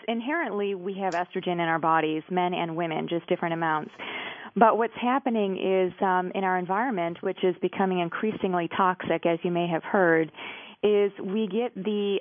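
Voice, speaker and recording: A woman, an expert guest, explaining fluently and warmly in an interview. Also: fairly low pitch, no room sound. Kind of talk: interview answers. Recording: tinny phone line.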